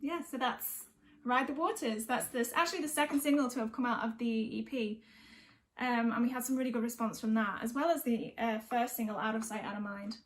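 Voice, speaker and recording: A woman's voice: on the high side, fairly even intonation, fairly quick. A young woman from North West England, a singer-songwriter, chatting casually between songs in a hesitant, reflective, rambling way. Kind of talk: casual chat between songs at an online gig.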